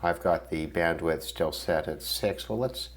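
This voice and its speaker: A man's voice: sits low, unhurried. A man giving casual, unscripted commentary as a radio hobbyist, hesitant and thinking aloud as he goes. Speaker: shortwave radio hobbyist demonstrating a receiver. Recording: clearly noisy, loud radio static.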